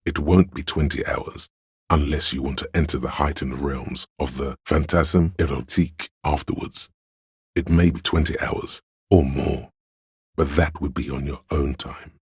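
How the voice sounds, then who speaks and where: A man voicing a detective's pretentious, arty inner voice for a video game, theatrical and deliberate, with a gravelly, husky tone. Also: very deep voice, fairly even intonation, dry recording, studio quality, exaggerated voice. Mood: wry, reflective. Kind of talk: video game narration, second-person commentary.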